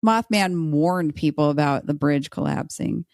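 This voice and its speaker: A woman's voice: low-pitched, unhurried, creaky, darkish tone, no echo, clean studio sound. A woman podcaster appearing as a guest, playfully arguing her case and chatting casually. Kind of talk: playful debate argument and casual chat.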